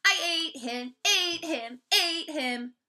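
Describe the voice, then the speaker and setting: A woman's voice: sits high, huge pitch swings, takes it slowly, sharp tone, pitched above the performer's own voice, full character voice. A woman voicing a gleeful, mischievous toddler boy in singsong baby talk for a children's storybook. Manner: babyish short phrases, singsong.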